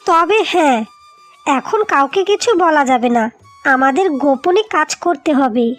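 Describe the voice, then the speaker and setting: A woman voicing an earnest, practical wife in scripted cartoon dialogue, speaking deliberately. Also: sits high, lively intonation, soft background music. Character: determined, practical young Bengali wives plotting to save home.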